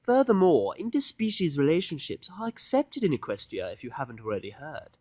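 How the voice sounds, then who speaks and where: Man putting on a high, genteel lady pony fashionista. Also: very animated, no echo. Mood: matter-of-fact, lecturing. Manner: affected, drawn-out, genteel delivery with terms of endearment. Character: refined, theatrical female pony fashionista, fussy and flattering. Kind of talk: audiodrama fan fiction character voice.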